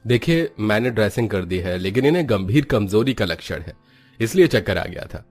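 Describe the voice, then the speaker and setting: A man voicing a matter-of-fact doctor in a cartoon story, measured and advisory. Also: fairly low pitch, sing-song, darkish tone, soft background music. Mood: earnest advice. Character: matter-of-fact male doctor giving advice.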